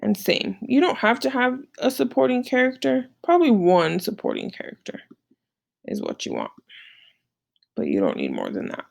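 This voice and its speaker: A woman's voice: on the high side, huge pitch swings, normal speed, vocal fry. A woman teaching informally, walking students through an assignment in a conversational way and often checking in with short tag questions. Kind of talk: informal screen-share lesson, walking through slides.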